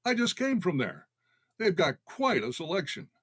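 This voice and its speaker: A man's voice: sits high, huge pitch swings, normal speed, fairly bright tone, slightly rough, full character voice. An actor playing a hearty, easily pleased man out shopping in an old-time radio comedy.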